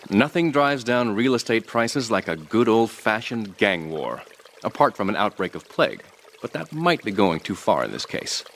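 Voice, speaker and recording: Deep-voiced, ruthless tycoon. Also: male voice, fairly bright tone. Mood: calculating. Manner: measured, formal, calculating. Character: cold, polished, ruthless middle-aged business tycoon. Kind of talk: video game cutscene acting. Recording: noisy city street behind.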